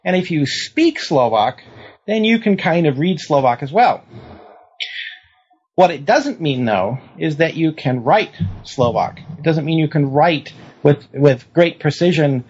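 A man with a moderately high voice talks as a scholar in an interview. He explains carefully and precisely, in a conversational academic way, and checks that he is understood. The recording is a little dull and lo-fi. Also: a little mellow. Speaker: interviewed historian and author. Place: lives in New Zealand.

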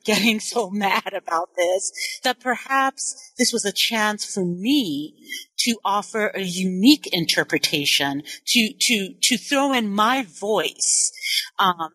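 A woman's voice: medium pitch, a bit bright, husky, no room sound, rough recording. Thoughtful Nigerian-born woman historian, dry sound. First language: Igbo. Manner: thoughtful, self-correcting, measured. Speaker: historian and author. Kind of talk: interview, personal account.

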